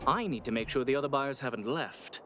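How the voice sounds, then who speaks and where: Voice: medium pitch, a little fast, a bit bright, a little hiss or hum, lightly acted. An actor playing an earnest, slightly flustered auction staff member in a video game, polite and defensive. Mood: earnest, businesslike. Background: music.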